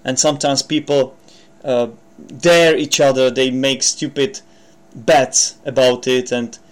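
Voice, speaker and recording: A man's voice: mid-range voice, steady tone, takes it slowly. A man from the Czech Republic with a Czech accent, an English teacher explaining idioms on his podcast in a chatty way, with many fillers and restarts.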